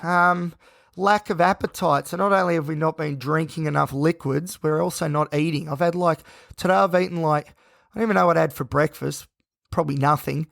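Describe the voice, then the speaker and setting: A man hosting a solo podcast, talking in a rambling, tired, self-reflective way with a croaky, hoarse voice. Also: a little high, fairly bright tone, no room sound, clean studio sound. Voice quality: gravelly. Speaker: podcast host.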